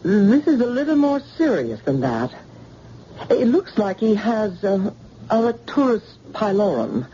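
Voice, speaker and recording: An actress playing a doctor held at gunpoint in an old radio drama, giving tense, measured replies. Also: female voice, medium pitch, big pitch swings, warm tone. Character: woman doctor held at gunpoint, quick-witted. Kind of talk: 1940s radio acting. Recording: dull old radio recording, faint hiss.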